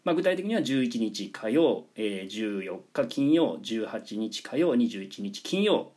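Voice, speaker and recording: Man with a lively, swooping delivery. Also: lowish voice, measured pace, husky. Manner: polite, explanatory, stressing key words. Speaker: presenter and organiser of a radio drama project. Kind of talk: informal announcement explaining a project.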